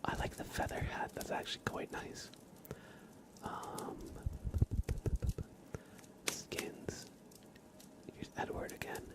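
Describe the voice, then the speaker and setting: A man hosting an ASMR livestream, chatting casually and gently with viewers in a soft, breathy whisper. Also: slow pace, pauses often. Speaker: live ASMR streamer and host.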